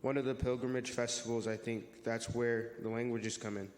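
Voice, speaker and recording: Casting: earnest male youth for church reader's theater, played by a man, explanatory and friendly, mid-pitched, heard in a reverberant hall. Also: flat delivery, moderate pace, darkish tone, lightly acted. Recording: echoey hall with long reverb.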